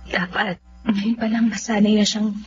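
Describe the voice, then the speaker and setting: A woman's voice: mid-range voice, level tone, measured pace, natural voice. A woman acting a tearful, resigned young daughter in a Filipino radio drama. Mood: despairing. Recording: muffled radio drama under music.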